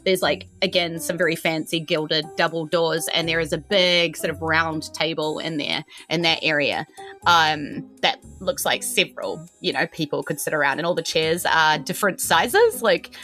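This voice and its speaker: A woman with a New Zealand accent and a slightly low voice narrates as a game master. She builds descriptions informally, pausing to find her words. Music plays in the background. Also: pushed and bright. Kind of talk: casual improvised tabletop game narration.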